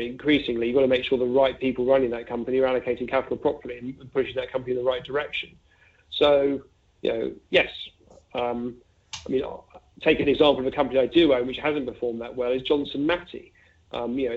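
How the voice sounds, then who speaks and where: Phone-like narrow audio, man, flat intonation, fund manager interviewee, conversational commentary, discursive, thinking aloud. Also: mid-range voice. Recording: narrow, tinny phone-like line.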